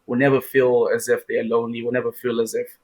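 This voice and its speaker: A man's voice: mid-range voice, flat delivery, fast talker, a little mellow. A young man from Zimbabwe, a guest in an interview, answering with many fillers and restarts.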